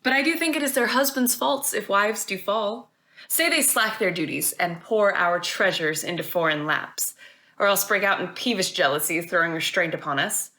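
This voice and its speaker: Woman acting a bright-voiced, defiant worldly wife. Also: medium pitch. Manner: pointed, argumentative, questioning. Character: worldly, defiant wife arguing women's equal desires. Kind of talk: Shakespearean stage monologue.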